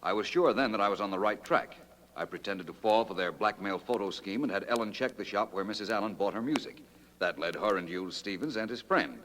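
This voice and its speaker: An actor playing a suave, confident American detective in an old radio drama, explaining things with wry assurance. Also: male voice, fairly low pitch, fairly quick, fairly bright tone, slight background noise, close to an everyday voice. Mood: assured. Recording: reverberant old radio recording.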